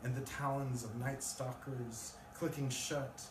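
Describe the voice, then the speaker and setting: A male poet speaks at a live reading in a room. His voice is picked up from a distance with plenty of echo. There is clear, loud noise over the recording. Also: mid-range voice, fairly even intonation, unhurried. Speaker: poet reading own work. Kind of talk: live poetry reading with casual introduction. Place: live event in a room with an audience.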